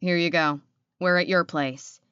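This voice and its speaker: A woman's voice: fairly low pitch, fairly even intonation, measured pace, sharp tone, clear, resonant tone, no echo, lo-fi sound. A woman voicing a loyal, obliging male werewolf sentinel in an audiobook. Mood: friendly. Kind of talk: audiobook character acting.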